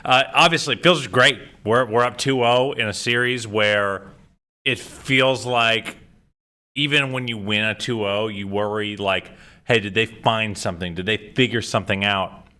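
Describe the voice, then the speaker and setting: Male co-host, voice a bit worn from cheering; low, forceful timbre, loose chatty delivery with hesitations. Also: steady tone, a little slow. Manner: casual, with frequent uh fillers and restarts. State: voice a little strained from cheering. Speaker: podcast co-host. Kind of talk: casual sports podcast chat.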